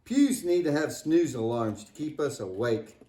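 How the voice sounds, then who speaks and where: A man's voice: on the high side, very animated, unhurried, dark tone. A man playing a cheeky, wisecracking, imaginative boy puppet in a scripted church puppet show, joking and exclaiming playfully. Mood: curious, joking. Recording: echoey room.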